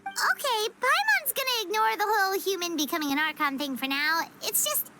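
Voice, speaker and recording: A woman voicing a tiny, childlike, excitable fairy companion in a video game, with a squeaky voice and exclaiming delivery. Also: a little fast. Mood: puzzled, curious. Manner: exclaiming, speaks of herself in third person. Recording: music behind the voice. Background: game music.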